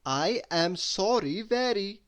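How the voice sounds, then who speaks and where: A man voicing a polite, muddled, odd gentleman from a children's storybook, speaking carefully and earnestly. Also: high voice, animated, slow pace, clean tone, pitched above the performer's own voice, heavily acted. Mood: apologetic.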